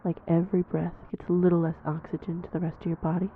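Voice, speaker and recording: A woman playing a snide, predatory vampire in an audio roleplay, mocking and teasing, with a breathy voice that slips into whispers. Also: lowish voice, little pitch movement, quick pace, phone-quality sound, slight background noise, natural voice. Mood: cruel, teasing. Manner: mocking, teasing, theatrically menacing. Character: snide, predatory female vampire toying with prey. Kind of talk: audio roleplay acting addressed to the listener.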